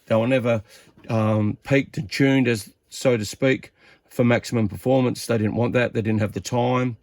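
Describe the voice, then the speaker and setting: A man's voice: mid-range voice, fairly even intonation, normal speed, darkish tone, dry recording. A man giving a casual hands-on demonstration as a radio hobbyist, rambling informally and thinking aloud as he goes.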